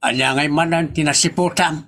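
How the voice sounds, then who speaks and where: A man's voice: fairly high pitch, steady tone, fairly quick, a bit bright. A man acting an easily exasperated old village husband in a comedy drama, exclaiming often, with an Ilocano accent.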